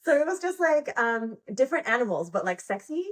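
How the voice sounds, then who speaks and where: A woman's voice: fairly high pitch, very animated, fairly quick. Giggly American woman, casual and slangy. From United States. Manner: informal and slangy, giggly, peppered with "like". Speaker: podcast guest, pole dance instructor and performer. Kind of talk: casual conversational interview.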